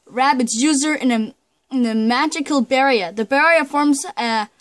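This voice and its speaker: A woman giving hesitant, chatty commentary during a blind video game playthrough, reading menus aloud. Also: on the high side. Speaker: gamer doing a blind playthrough. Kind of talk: casual let's play commentary. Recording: commentary over loud game music.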